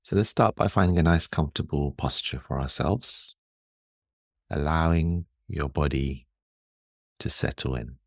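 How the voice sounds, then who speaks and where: A man guiding a meditation in a calm, soothing and measured manner, leaving long pauses. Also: a bass voice, vocal fry, no room sound, clean studio sound. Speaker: meditation guide. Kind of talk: guided meditation.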